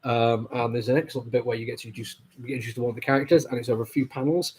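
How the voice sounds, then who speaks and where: A man's voice: medium pitch, steady tone, rapid-fire, a little mellow. A man with a cold and a stuffy, nasal voice, reviewing comics casually with lots of fillers and apologies. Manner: rambling, with many fillers and apologies. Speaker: comic book reviewer and host.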